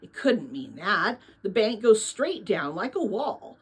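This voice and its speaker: Lady doing a curious young storybook girl, eager, kinda husky, really up and down. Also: mid-range voice, very animated, a little slow, heavily acted. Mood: doubtful.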